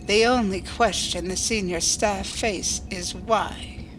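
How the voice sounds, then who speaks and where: A woman's voice: mid-range voice, fairly even intonation, takes it slowly, slight background noise, lightly acted, low-quality recording. A woman playing a coolly logical alien starship officer, formal, precise and unemotional, in a science fiction audio drama. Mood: formal, detached.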